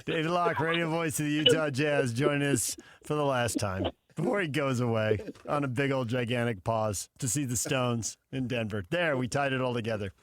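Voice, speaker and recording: A man's voice: medium pitch, normal speed, hardly any pauses, fairly bright tone. A man hosting sports radio with casual banter, on a studio microphone.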